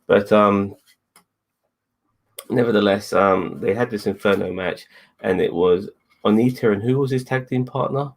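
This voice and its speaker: A man's voice: on the low side, measured pace, vocal fry, long pauses, a little hiss or hum. A man co-hosting a casual wrestling review, rambling, repeating himself and swearing freely. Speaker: co-host.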